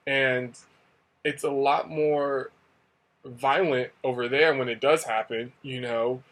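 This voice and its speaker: A young man talks loosely straight to camera, a teacher sharing stories in a casual vlog. His pitch sits right in the middle of a man's range, and he rambles along full of "like" and "you know", circling back on himself. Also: steady tone, slow pace, fairly bright tone. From United States. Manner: rambling, conversational, many fillers. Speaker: English teacher in Asia. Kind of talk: casual talking-head vlog.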